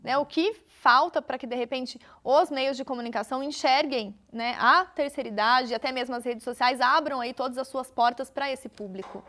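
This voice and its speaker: A woman with a Brazilian accent and a clearly high voice hosts a television interview. She frames her questions politely and with deference, keeping a formal yet conversational delivery. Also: moderate pace, few pauses, sharp tone.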